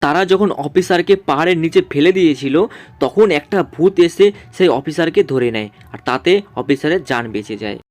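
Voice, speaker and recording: A man's voice: a little high, lively intonation, fairly quick. A man narrating a scripted story for a cartoon.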